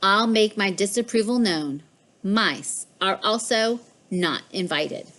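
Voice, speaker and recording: A woman's voice: medium pitch, slow pace, a bit bright. A woman voicing a smug, mischievous pet cat in a storybook, with a boastful, emphatic delivery. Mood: haughty. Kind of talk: storybook read-aloud.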